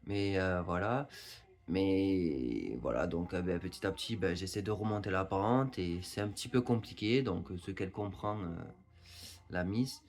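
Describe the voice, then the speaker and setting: A man's voice: low voice, normal speed, darkish tone. A man in his thirties chatting casually beside his partner, hesitant and full of fillers. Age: 30s. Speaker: vlogger's partner. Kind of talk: casual chat.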